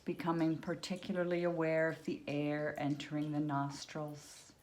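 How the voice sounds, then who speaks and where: A woman leading a guided yoga nidra meditation, speaking unhurriedly in short phrases with long pauses between them. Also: deep voice, monotone, a little mellow.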